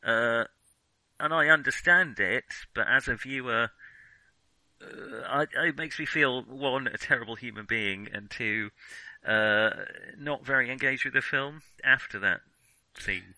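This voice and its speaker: A man in a casual film discussion, talking in a halting way and often correcting himself mid-sentence. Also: medium pitch, bright, forceful tone, dry recording, low-quality recording. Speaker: film podcast co-host.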